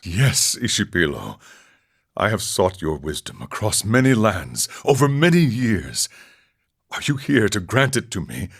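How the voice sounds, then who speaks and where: A man voicing an older, serene and wry wandering ascetic monk in a video game, speaking in a solemn, measured, philosophical way. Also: fairly low pitch, husky, exaggerated voice. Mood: searching, reverent. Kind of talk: scripted game character dialogue.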